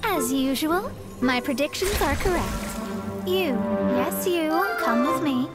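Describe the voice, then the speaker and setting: An actress voicing a proud young female astrologer in a video game, formal and self-assured, with soft game music behind her. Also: high-pitched, expressive, a little slow. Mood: haughty. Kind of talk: game voice acting.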